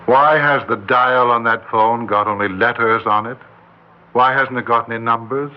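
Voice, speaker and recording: An actor playing an earnest, suspicious schoolteacher in an old-time radio drama, speaking in an insistent, probing way. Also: male voice, medium pitch, fairly even intonation, moderate pace, lightly acted. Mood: insistent, bewildered. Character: earnest, suspicious male schoolteacher narrating and questioning a pupil. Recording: muffled, hissy old-time radio recording.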